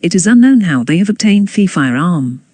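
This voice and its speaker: Formal emergency announcer, dry close sound, lively pitch. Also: moderate pace, no room sound. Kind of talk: scripted emergency news announcement.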